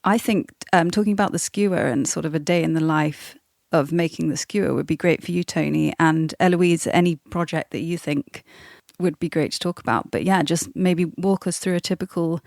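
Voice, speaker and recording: Woman podcast host, slightly low voice, very dry recording, casual interview chat. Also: normal speed, gravelly, darkish tone, dry recording.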